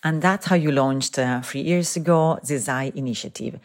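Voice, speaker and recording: A woman's voice: a bass voice, normal speed. A woman hosting a podcast, delivering a formal scripted introduction and then asking interview questions. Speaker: podcast host.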